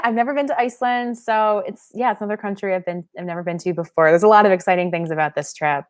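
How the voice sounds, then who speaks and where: A young woman from Pennsylvania hosting a live-stream Q&A, chatting casually and reading viewer comments aloud. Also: sits low. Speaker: channel host.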